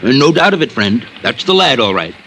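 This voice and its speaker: An actor playing a folksy, blustering used-car dealer with an American accent and a gravelly voice, in a 1950s radio drama. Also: male voice, medium pitch, very animated, loud music behind the voice, close to an everyday voice. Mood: folksy, obliging. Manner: folksy, blustery, drawn-out hemming and colorful slang. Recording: noisy vintage radio recording.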